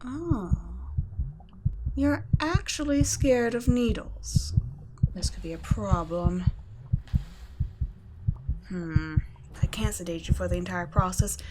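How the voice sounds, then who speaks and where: A woman voicing a cold, teasing female vampire scientist in an ASMR roleplay, speaking softly and often in a whisper, mocking and condescending. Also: fairly low pitch, a little slow, pauses often, very noisy, lightly acted. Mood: clinical. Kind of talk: ASMR roleplay audio drama.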